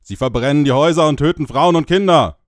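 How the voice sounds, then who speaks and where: A man's voice: on the high side, quick pace, no echo, studio quality, close to an everyday voice. A man playing an earnest warrior with formal, archaic diction in an amateur fantasy audio drama. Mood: grave, urgent. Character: earnest, urgent male warrior bringing grim news.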